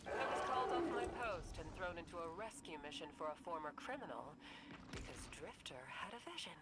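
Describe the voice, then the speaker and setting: A woman's voice: on the low side, sing-song, fairly quick, heavy background noise. A woman playing a stern, skeptical officer in a video game, clipped and matter-of-fact. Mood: skeptical, irritated. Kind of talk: naturalistic scripted game acting.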